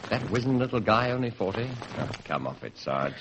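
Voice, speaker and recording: A young british bobby, low voice, cheeky and nosy, old hissy radio play. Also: male voice, big pitch swings, a little fast, a bit bright. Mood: curious, skeptical. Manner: inquisitive, dryly joking. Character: young, inquisitive, cheeky British male police constable. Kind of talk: vintage British radio drama acting. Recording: noisy old radio recording.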